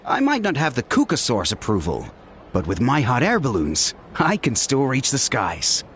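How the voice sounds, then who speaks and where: A man's voice: mid-range voice, huge pitch swings, normal speed, fairly bright tone, a little hiss or hum. A man playing an easygoing, contented young balloon operator in a video game, casual and earnest. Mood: modest, content.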